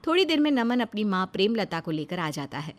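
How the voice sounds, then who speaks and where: A woman's voice: mid-range voice, very animated, fairly quick. A woman narrating a scripted moral story as a storyteller.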